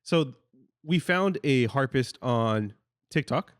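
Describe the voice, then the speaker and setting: Brief: young man, mid pitch, earnest casual co-host, close dry mic. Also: animated, slow, smooth, dry recording. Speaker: podcast co-host, groom. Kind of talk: casual chat.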